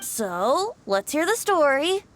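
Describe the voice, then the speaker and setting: A woman's voice: high-pitched, sing-song, takes it slowly, a little hiss or hum, heavily acted. A woman voicing a youthful male bard with a lyrical, theatrical and playful manner in a video game. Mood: playful, teasing.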